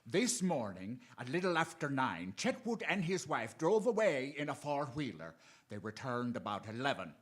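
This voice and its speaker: An actor playing a deferential police inspector posing as a butler in a radio drama, speaking hesitantly and eagerly. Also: male voice, medium pitch, big pitch swings, moderate pace, a bit bright. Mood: eager reporting. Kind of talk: theatrical radio drama acting.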